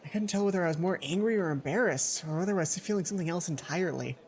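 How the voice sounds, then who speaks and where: A young adult man reading a visual novel aloud as a let's play host, expressive, often correcting himself and dropping in casual commentary. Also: high voice, racing. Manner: expressive, self-correcting reader. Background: game music.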